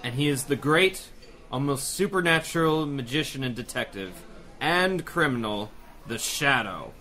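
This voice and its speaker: A man's voice: medium pitch, expressive, unhurried, pushed and bright. An actor playing a self-assured male police commissioner, in 1930s-style radio drama acting. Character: confident male police commissioner, brisk and sure.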